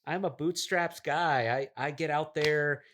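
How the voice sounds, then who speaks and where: A man's voice: a little high, a little slow, a bit bright, a little hiss or hum. A man playing an affluent, evasive, self-assured app developer in an improvised mock interview, rambling vaguely with breezy overconfidence. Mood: defensive, confident. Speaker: comedic interview guest.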